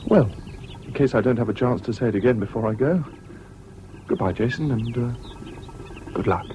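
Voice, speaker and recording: An actor playing a calm, decent older schoolboy with an English public-school accent, speaking in a measured, kind way in a radio drama. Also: male voice, mid-range voice, close to an everyday voice. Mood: kindly, friendly. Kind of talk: 1970s BBC radio drama acting. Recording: muffled, hissy old radio broadcast.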